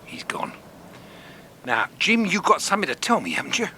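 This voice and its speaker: An actor playing a suspicious, bullying crook, sharp and demanding, in an audiobook dramatisation. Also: male voice, high voice, huge pitch swings, moderate pace, a little hiss or hum, heavily acted. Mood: brusque. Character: gruff, suspicious adult male crook, bullying and edgy.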